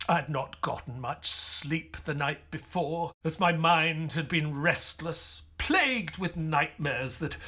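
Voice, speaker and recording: Audio play casting: a weary British Victorian doctor, loyal, easily exasperated, very mobile pitch, slightly husky. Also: male voice, heavily acted. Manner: old-fashioned, formal, expressive. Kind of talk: audio drama acting with first-person storytelling.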